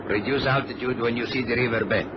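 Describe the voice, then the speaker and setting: Radio drama villain, male actor: hijacker, well-mannered and dangerous, mid-pitched, dull noisy vintage sound. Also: fairly even intonation, quick pace, natural voice. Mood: cool, commanding. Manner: courteous, coolly threatening. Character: polite, menacing Latin male hijacker with a gun.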